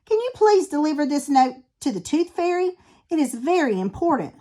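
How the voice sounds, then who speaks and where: Woman as a caring, high-voiced mother shark. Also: sing-song, normal speed, warm tone. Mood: eager. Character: caring mother lemon shark, gentle and determined. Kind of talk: children's storybook character voice.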